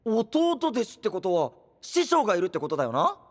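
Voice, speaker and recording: An actor playing an innocent, hot-blooded boyish knight with casual, wide-eyed speech in an anime audio drama. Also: male voice, sits high, wildly swooping pitch, fairly quick, long reverb tail, heavily acted. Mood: curious.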